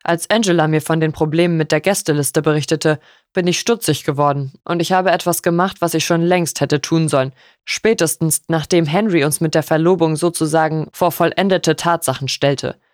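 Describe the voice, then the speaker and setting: A woman voicing a stern, stony older lord in an audiobook, formal, measured and sharp. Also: low-pitched, steady tone, dry recording, studio quality, natural voice. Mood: grave.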